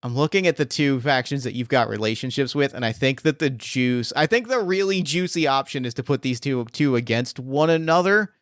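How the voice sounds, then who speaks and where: A man's voice: medium pitch, animated, brisk, hardly any pauses, no echo, clean studio sound. A man acting as game master, thinking aloud with many fillers and restarts as he sets up a scene. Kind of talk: improvising a tabletop game scene.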